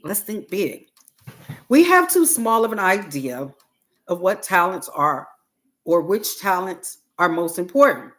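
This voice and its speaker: A woman reads aloud from a self-help book at a very unhurried pace. Her voice sits a little low, and she breaks sentences into short, deliberate pieces, pausing between them. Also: fairly bright tone. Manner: halting, deliberate phrasing with pauses and repeats. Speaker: host reading a book aloud on a livestream.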